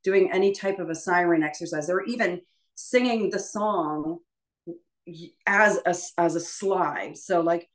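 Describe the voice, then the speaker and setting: Informal, tentative woman teacher, lower-pitched voice. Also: unhurried. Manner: tentative, self-deprecating, often trailing off. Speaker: voice teacher leading a workshop.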